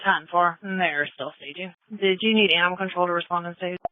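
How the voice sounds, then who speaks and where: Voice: mid-range voice, brisk, no echo, phone-quality sound. A police dispatcher on a scanner radio, relaying and repeating messages in a clipped, businesslike way with radio codes. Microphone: two-way radio.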